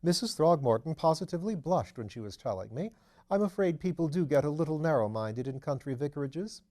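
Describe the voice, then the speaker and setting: Man playing an elderly duchess, motherly, gossipy, genteel, slightly lifted pitch, mellow, storybook dialogue. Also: animated, fairly quick, lightly acted. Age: older. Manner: gossipy, genteel, fussing kindly. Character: genteel dowager duchess, older mother, chatty. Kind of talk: audiobook character dialogue.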